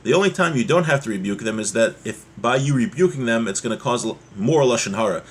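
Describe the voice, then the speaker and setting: A man giving a religious lesson as a teacher, explaining a text point by point and repeating key ideas, in a husky voice. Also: mid-range voice, expressive, fast. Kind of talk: Torah lesson, reading Hebrew text and explaining it.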